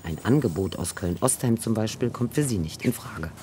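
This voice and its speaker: A man reading a scripted news report as voice-over, in a calm, even manner. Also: lowish voice, normal speed, a little hiss or hum.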